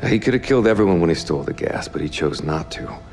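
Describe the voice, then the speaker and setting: A loyal special operations soldier in his thirties for a cinematic game. His very deep, creaky voice is earnest and understated but defiant. Music sits clearly behind it. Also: lively intonation, quick pace, darkish tone. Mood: quiet, earnest. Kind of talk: cinematic military game acting.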